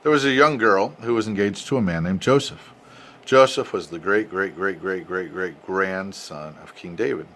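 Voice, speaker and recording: An older man, a grandfather, reads a storybook aloud to his family expressively, with dramatic pauses and emphasis. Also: sits low, a bit bright. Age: 60s or older. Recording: noisy amateur home recording.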